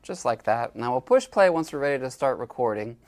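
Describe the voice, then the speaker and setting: A man's voice: mid-range voice, animated, fairly quick, a little mellow. A man giving a casual, step-by-step equipment tutorial as an instructor, with informal, folksy phrasing. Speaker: instructor demonstrating library equipment.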